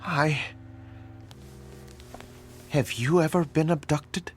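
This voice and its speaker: A man's voice: fairly high pitch, slow pace, faint music behind. An actor playing an anxious, meek man in therapy who believes in aliens, in a radio drama. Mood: uneasy, earnest. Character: anxious, grateful male therapy patient obsessed with aliens.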